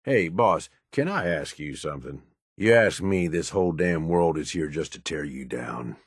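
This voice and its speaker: An actor playing a gruff, cynical wasteland raider in a video game, a blunt, streetwise henchman with an American accent and coarse, slangy talk. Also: very deep voice, lively intonation, normal speed, no room sound, studio quality, heavily acted. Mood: jaded. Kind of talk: video game companion voice lines.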